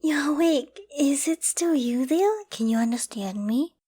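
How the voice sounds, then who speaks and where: A woman acts out a clingy young female survivor of a zombie apocalypse in an ASMR audio drama. Her voice is on the high side, emotional and halting, prone to stammers and hushed dips, with an obsessive devotion underneath. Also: expressive. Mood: relieved, eager. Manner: emotional, halting and stammering, often dropping to whispers and sighs.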